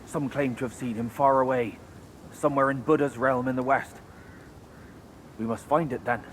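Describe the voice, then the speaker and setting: An actor playing a wary rat exile in a video game, earnest and guarded, weary but resolute. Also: male voice, mid-range voice, fairly even intonation, normal speed, slight background noise, lightly acted. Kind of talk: video game character acting.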